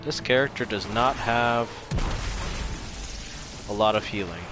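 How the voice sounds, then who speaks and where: A man's voice: mid-range voice, unhurried, over music. A man chatting casually and a bit ramblingly to viewers while livestreaming a video game. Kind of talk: casual chat to stream viewers.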